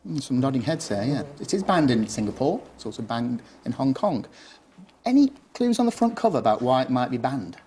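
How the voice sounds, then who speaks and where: A man's voice: mid-range voice, big pitch swings, fairly quick, mellow, slight background noise. A man, a primary head teacher, speaking to an audience in a simple, instructive classroom manner. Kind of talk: explaining and teaching to an audience, storybook reading. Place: television studio.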